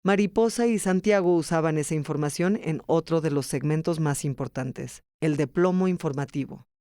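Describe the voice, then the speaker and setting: A man's voice: on the high side, moderate pace, dark tone, no room sound, clean studio sound. A man narrating a scripted documentary podcast as its storyteller.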